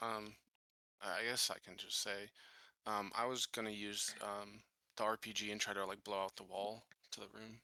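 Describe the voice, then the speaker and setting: A man chatting casually as a tabletop game player, halting and hesitant as he speaks. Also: on the low side, flat delivery, creaky, bright, forceful tone, no room sound.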